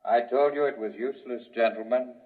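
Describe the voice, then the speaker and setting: A man playing a calm, menacing male scientist villain in a 1950s radio drama, old dull recording. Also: medium pitch, fairly quick, natural voice. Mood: condescending.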